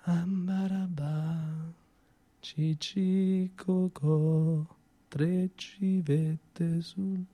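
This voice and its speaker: A man voicing a grieving woman who murmurs a nursery rhyme obsessively, hushed and breathy, in drawn-out singsong. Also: fairly high pitch, fairly even intonation. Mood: eerie, murmuring. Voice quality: hushed, breathy, half-whispered. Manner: very slow, drawn-out singsong chanting.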